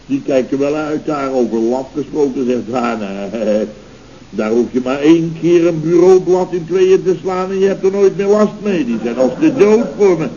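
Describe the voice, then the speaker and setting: An actor playing a self-pitying, blustering boss of a building firm, pompous and melodramatic, in an old radio comedy. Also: male voice, on the high side, expressive, normal speed, warm tone, close to an everyday voice. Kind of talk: 1970s Dutch radio comedy acting. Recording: dull, noisy old radio recording.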